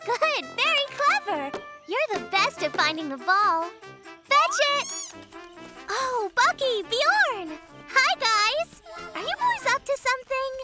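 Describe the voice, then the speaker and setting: An eager little girl fox from a kids' cartoon, sweet and excitable. The voice is extremely high and bouncy, with slow, drawn-out gushing words over music. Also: exaggerated voice. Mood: playful, cooing. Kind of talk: children's cartoon voice acting. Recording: music behind the voice.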